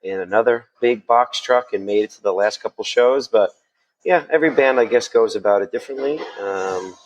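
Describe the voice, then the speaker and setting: Laid-back musician dude, lowish voice, easygoing answers. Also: male voice, fairly quick, muffled recording. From New Jersey. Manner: relaxed, friendly, laughs along. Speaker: musician guest. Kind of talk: casual interview answers.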